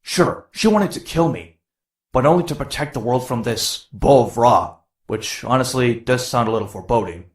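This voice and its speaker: A man's voice: medium pitch, expressive, normal speed, close to an everyday voice. A man voicing a cocky, sardonic young masked vigilante antihero in a motion comic, full of quips. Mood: wry narration. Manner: sardonic, quippy. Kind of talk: motion comic narration and dialogue. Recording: echoey, roomy sound.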